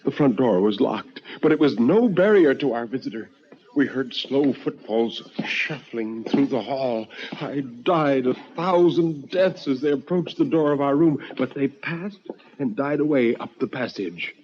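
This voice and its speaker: An actor playing a frightened, haunted man who tells a ghost story in a theatrical, halting way, like old radio horror. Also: medium pitch, dull audio, a little hiss or hum, lightly acted. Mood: dread. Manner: theatrical, halting with stammered repeats. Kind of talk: dramatic old-time radio horror narration.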